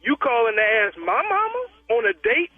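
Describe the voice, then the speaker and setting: A high-voiced man in his thirties talks over a thin phone line with no room sound. He is protective and heated, and he keeps stammering and repeating himself. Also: sing-song, a little fast, breathy edge, no room sound, faint music behind. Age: 30s. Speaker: prank call target. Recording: tinny dry phone line.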